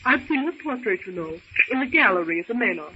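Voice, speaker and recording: A woman's voice: medium pitch, very animated, fast talker, sharp tone. A woman acting a genteel, anxious young lady castaway in an old-time radio adventure serial, speaking with earnest, polite theatricality. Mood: hushed, concerned. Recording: dull, hissy old radio recording.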